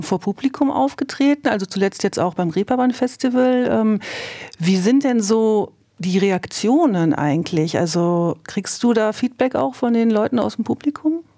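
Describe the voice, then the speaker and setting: A woman's voice: mid-range voice, animated, normal speed, dark tone, dry recording. A woman hosting a show, asking casual, conversational questions that she often rephrases halfway through. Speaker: radio show host, interviewer.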